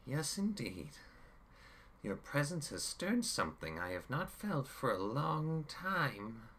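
A man voicing a cruel, mock-sweet male villain who talks slowly and theatrically. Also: medium pitch, big pitch swings, a bit bright, slight background noise, voice pushed up. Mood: gloating. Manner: sneering, slow and theatrical, bursts into screams.

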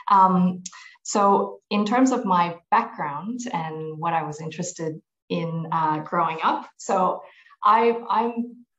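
A woman with a lowish voice talks through her answers carefully, pausing on ums and restarting her sentences. The sound is muffled and lo-fi. It has the echo of a bare room. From grew up in Malaysia, US and New Zealand. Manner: thoughtful, many ums and restarts. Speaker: podcast guest, tax executive. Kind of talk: conversational interview answers.

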